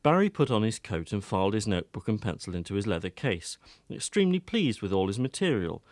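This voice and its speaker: A man's voice: on the low side, sing-song, quick pace, no room sound. A man reading scripted narration for an audiobook drama as its storyteller.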